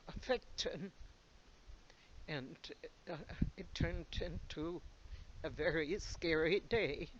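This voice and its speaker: A man slowly recalling a personal memory in a halting way, with pauses and fillers. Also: on the high side. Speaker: interviewee recalling the day. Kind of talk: personal recollection. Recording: muffled lo-fi sound with faint noise.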